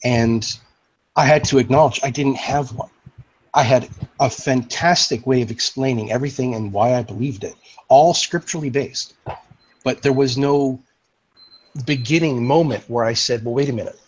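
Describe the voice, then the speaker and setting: A man's voice: mid-range voice, moderate pace, slight background noise, rough recording. A man moderating an online voice-chat discussion, explaining in long philosophical sentences.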